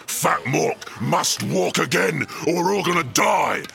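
An actor playing a brutish, bullying ork mechanic boss in a scripted audio drama, gravelly and scornful, with rough grammar. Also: male voice, on the high side, lively intonation, unhurried, sharp tone, exaggerated voice. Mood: angry, bullying. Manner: bellowing, scornful, rough ungrammatical speech.

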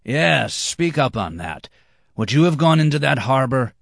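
A man's voice: on the low side, expressive, normal speed, gravelly, fairly bright tone, no room sound, clean studio sound, pitched above the performer's own voice, exaggerated voice. A man voicing a drunken, boastful, hot-tempered red-haired banker. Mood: excited.

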